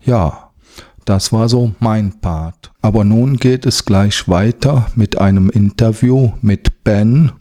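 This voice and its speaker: A man's voice: fairly low pitch, dark tone. A man giving a formal, prepared account of his part in a school project. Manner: measured, formal. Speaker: project contributor. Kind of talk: prepared statement.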